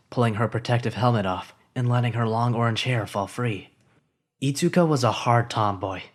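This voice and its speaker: Man, story narrator, even steady reading, mid-pitched voice. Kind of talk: scripted narration of fan fiction.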